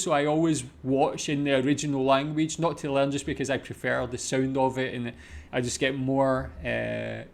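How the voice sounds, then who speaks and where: A man with a Scottish accent, hosting a reaction video and musing aloud in a chatty way, with frequent fillers. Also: on the high side. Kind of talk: casual commentary to camera.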